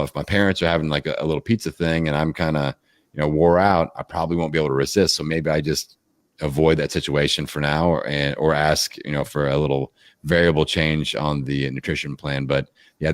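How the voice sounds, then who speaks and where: Deep-voiced man chatting energetically. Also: no echo, clean studio sound. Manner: energetic, rambling, lots of fillers. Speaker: podcast guest, fitness coach. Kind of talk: casual interview chat. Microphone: video call.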